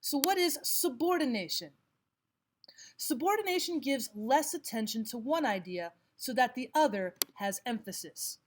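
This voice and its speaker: A woman teacher giving scripted instructional narration, explaining and reading examples out deliberately. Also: a little high, a little mellow. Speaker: language arts teacher.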